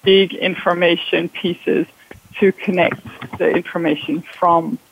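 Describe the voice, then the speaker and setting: A man's voice: high-pitched, little pitch movement, a little slow, vocal fry, slightly rough, muffled recording. A man from Germany, a consultant guest explaining things on a radio show with frequent ums. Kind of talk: radio interview answers.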